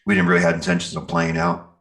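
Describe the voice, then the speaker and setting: A man's voice: sits low, monotone, fast, fairly bright tone. A middle-aged American man chatting casually in an interview as a musician, rambling a little and searching for words.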